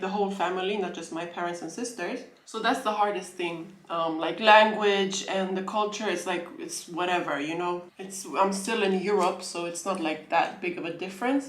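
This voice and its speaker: A woman's voice: medium pitch, echoey room, poor audio. A young woman from Sweden chatting casually as she eats and answers questions in a home vlog, rambling and correcting herself. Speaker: vlogger. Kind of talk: casual mukbang Q&A chat. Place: room at home.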